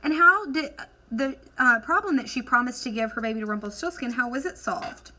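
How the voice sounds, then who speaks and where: A woman teacher leading an instructional lesson for children, asking questions, encouraging answers and dictating deliberately as she writes. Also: fairly high pitch, expressive, sharp tone, a little hiss or hum. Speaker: elementary school teacher.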